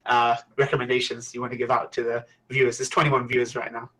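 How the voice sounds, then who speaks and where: A man's voice: medium pitch, flat delivery, fast talker, bright, forceful tone, low-quality recording. Man hosting an anime podcast. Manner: encouraging, asks each guest in turn. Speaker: podcast host. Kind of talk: casual podcast chat.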